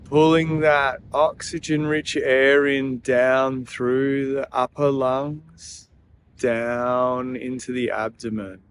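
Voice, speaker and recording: A man leading a guided breathing meditation in a soothing, gentle manner, leaving long pauses between phrases. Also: medium pitch, steady tone, slight background noise.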